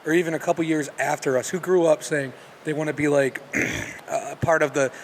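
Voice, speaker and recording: A young man hosting a casual podcast chat, quick and emphatic with slangy phrasing. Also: on the high side, fairly even intonation, a little hiss or hum.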